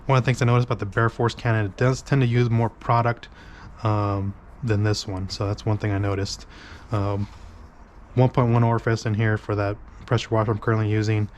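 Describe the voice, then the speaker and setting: A man's voice: lowish voice, flat delivery, a little fast, a little hiss or hum. A man hosting a car detailing review in his garage, congested and nasal from a cold, rambling with many ums and restarts as he mixes product on his workbench. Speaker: car detailing channel host. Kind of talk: casual product review demo.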